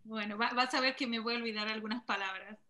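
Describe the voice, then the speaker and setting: A woman's voice: a little high, steady tone, quick pace, sharp tone. Hesitant woman. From Argentina. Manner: hesitant, many fillers and self-corrections. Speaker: guest in an online panel talk. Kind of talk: casual personal story in an online chat. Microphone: video call.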